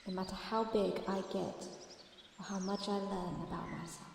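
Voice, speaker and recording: A woman voicing a curious, protective young female dragon in a soft-spoken, earnest ASMR roleplay. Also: medium pitch, fairly even intonation, normal speed, gravelly, lightly acted. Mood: wistful, tender. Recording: very echoey, long reverb, faint background noise.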